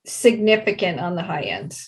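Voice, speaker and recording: A woman giving explanatory interview answers as a project representative, deliberate and halting, leaning on key words. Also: fairly low pitch, sing-song, rough recording.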